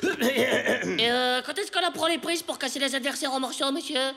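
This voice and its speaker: A woman's voice: sits high, moderate pace, gravelly. A woman voicing a brash, hapless ten-year-old boy in a French comedy cartoon, slangy and boastful, stammering when scared. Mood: cheeky.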